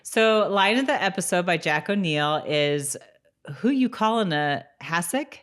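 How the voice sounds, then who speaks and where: Deep-voiced woman in casual podcast chat. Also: expressive, measured pace. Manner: informal, conversational. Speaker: podcast co-host. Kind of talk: casual chat about a TV rewatch.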